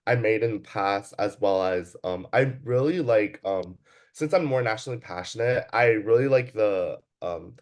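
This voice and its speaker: A man's voice: on the low side, moderate pace, darkish tone. Hesitant young man from California. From Bay Area, California, USA. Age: young adult. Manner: hesitant, many fillers and restarts. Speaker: student interviewee. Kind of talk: casual interview answers.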